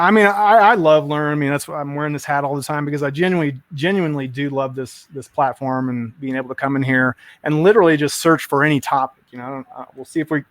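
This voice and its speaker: A man's voice: on the high side, no room sound. A man chatting enthusiastically and rambling a bit on a tech stream, full of fillers. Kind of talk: casual tech chat.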